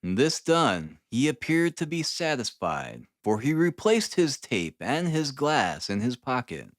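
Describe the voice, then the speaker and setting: A man reading a classic detective novel aloud as an expressive, literary audiobook narrator. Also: mid-range voice, measured pace, no echo, clean studio sound.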